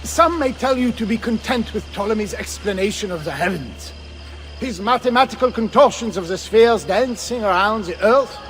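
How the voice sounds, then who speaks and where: A man's voice: high voice, lively intonation, normal speed, a bit bright. A man voicing an earnest, philosophical Renaissance astronomer who lectures formally in a video game. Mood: questioning. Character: earnest, questioning Renaissance astronomer, male Prussian scholar.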